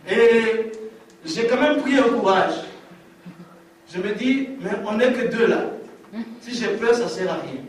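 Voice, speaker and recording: High-voiced man preaching in an echoey hall. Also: animated, measured pace, pauses often, recorded from a distance, slight background noise. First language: African language. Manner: emphatic, repeats lines for effect. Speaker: testimony speaker. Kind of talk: preached testimony.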